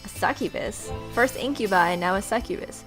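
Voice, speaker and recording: A woman's voice: mid-range voice, expressive, a little fast, fairly bright tone. A young adult woman hosting a let's play, reading visual novel text aloud expressively with casual, sarcastic reactions. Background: game music.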